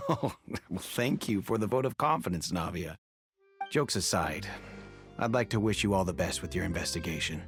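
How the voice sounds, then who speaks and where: A man's voice: low voice, animated, moderate pace, long pauses, a bit bright, soft background music. An actor playing a composed, affable male guard officer in a video game, assured and matter-of-fact.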